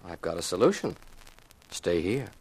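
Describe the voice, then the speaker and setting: An actor playing a hard-boiled, cool-headed investigator who also narrates a 1950s radio mystery in a wry, matter-of-fact way. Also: male voice, deep voice, very animated, moderate pace, darkish tone, slight background noise, rough recording. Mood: reassuring, wry. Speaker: narrator and lead.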